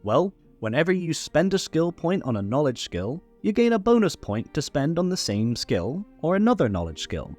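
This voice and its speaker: A man's voice: a little high, sing-song, moderate pace, hardly any pauses, a little mellow. A man hosting a tutorial, reading scripted explainer narration in a structured teaching manner with light playful asides. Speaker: tutorial host. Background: background music.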